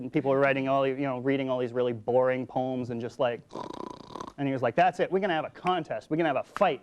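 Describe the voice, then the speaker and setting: A man's voice: mid-range voice, darkish tone, dull audio. A talkative, playful man chatting casually as a guest poet, sometimes reciting short poems. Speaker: guest haiku poet and slam champion. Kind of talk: casual chat with poem recitation.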